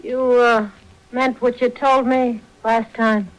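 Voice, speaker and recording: An actress playing a brassy, scheming gangster's wife in a 1940s radio crime drama, with an American accent and slangy, sarcastic speech. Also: female voice, fairly high pitch, little pitch movement, slow, poor audio. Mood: fed up. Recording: vintage 1940s radio broadcast.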